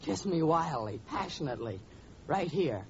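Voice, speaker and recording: Gawky lovesick student, gushing, melodramatic, 1940s radio comedy, muffled noisy recording. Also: male voice, a little high, big pitch swings, normal speed. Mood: lovestruck. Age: young adult. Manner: gushing, overwrought. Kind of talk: 1940s radio sitcom acting.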